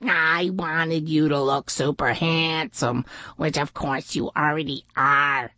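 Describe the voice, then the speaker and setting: A woman voicing an eager, affectionate young female duck with a happy, excitable manner in a children's storybook. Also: mid-range voice, slow, no echo. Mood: excited, affectionate.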